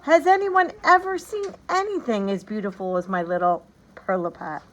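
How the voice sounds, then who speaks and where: A woman voicing an exuberant, doting storybook king, amiable and excitable, with a hearty exclamatory manner. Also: mid-range voice, moderate pace, lightly acted, low-quality recording. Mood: overjoyed, boastful.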